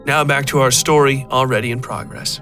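A man reading scripted first-person dark fiction as the narrator of a story podcast. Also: mid-range voice, steady tone, brisk, faint music behind.

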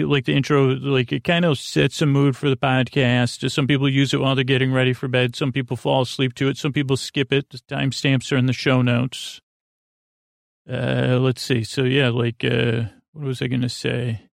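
Creaky, meandering sleep-podcast host man. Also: no room sound, studio quality. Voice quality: creaky, low-key drawl. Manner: meandering, full of ums and self-corrections. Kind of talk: rambling soothing monologue.

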